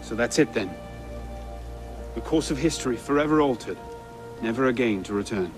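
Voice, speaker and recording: Brief: male actor playing a steady, grave young hero, middle pitch, music beneath. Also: normal speed, lightly acted.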